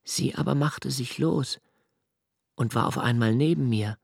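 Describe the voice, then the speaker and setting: An actor playing a brooding, introspective young male diarist, narrating a literary radio play in a slow, hushed, measured way. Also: medium pitch, vocal fry, breathy edge, no echo, clean studio sound. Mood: reminiscing. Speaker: first-person narrator.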